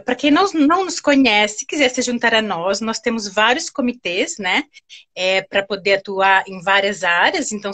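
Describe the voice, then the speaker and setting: A woman's voice: mid-range voice, bright, forceful tone. A woman with a Brazilian accent, hosting a live online talk in a conversational style. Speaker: host and committee co-leader.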